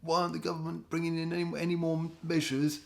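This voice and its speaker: A man's voice: a little high, monotone, quick pace, creaky, pitched above the performer's own voice. A British man mimicking a fretful, gullible worrier with fussy, dithering anxiety in a satirical monologue. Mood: mock worry. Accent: British English. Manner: fussy, mock-anxious dithering. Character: fretful, gullible worrier who follows the news.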